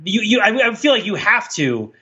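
A man's voice: sits high, big pitch swings, normal speed, pushed and bright, slightly rough, dull audio. A man co-hosting a podcast in casual, discursive chat, rambling and often questioning himself as he goes. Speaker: podcast co-host.

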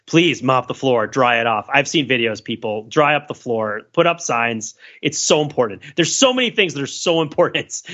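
A man's voice: fairly high pitch, bright, forceful tone, dull audio. An American man co-hosting a podcast, riffing in a rambling, casual, joking way over a call. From United States. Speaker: podcast co-host. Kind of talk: casual, joking group discussion.

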